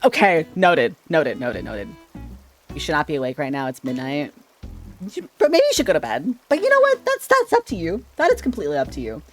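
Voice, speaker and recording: Playful rambling streamer guy, lively pitch. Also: brisk, faint music behind. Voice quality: slightly shrill, sharp-sounding voice. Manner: rambling, playful, stumbles and repeats words. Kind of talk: casual livestream chat with viewers. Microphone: desk microphone.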